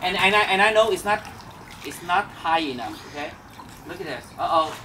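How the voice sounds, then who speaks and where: A man's voice: high voice, animated, moderate pace. Man coaching kids at the pool. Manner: coaxing, instructive, teasing. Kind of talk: casual family coaching. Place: backyard pool outdoors. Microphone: handheld camera a few meters off. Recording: splashing water noise.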